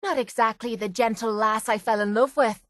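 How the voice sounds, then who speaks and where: A woman's voice: fairly high pitch, fairly even intonation, normal speed, dry recording, clean studio sound, lightly acted. An actress with an Irish accent playing a tough but wounded young woman fighter in a video game, blunt, earnest and confessional. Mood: stunned, tender. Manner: earnest, confessional, salty-tongued. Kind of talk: video game character acting.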